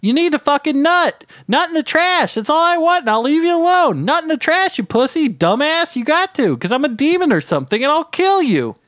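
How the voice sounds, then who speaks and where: A man's voice: very high-pitched, big pitch swings, fairly quick, hardly any pauses, no room sound, voice pushed up, heavily acted. A man voicing a crude, bossy little trash demon who taunts and demands in a comic horror story. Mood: pleading, threatening. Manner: shouting, taunting, needy, bossy. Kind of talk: comedic horror storytelling character voice.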